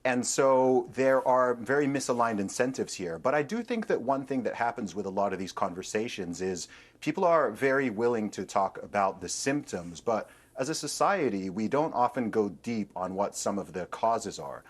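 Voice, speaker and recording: A man appearing as a guest on a TV debate show, giving measured commentary that builds its points as a list. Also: mid-range voice. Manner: steady, builds points in lists.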